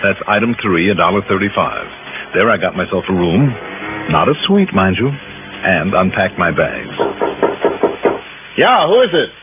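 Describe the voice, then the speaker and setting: An American actor playing a wry, breezy insurance investigator who banters and wisecracks in an old radio drama. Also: male voice, sits low, very animated, a little slow, pauses often. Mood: curious, friendly. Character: wry, breezy freelance insurance investigator, sociable and money-minded. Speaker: star of a radio detective series. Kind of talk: 1960s American radio acting and narration. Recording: tinny old radio broadcast, loud music.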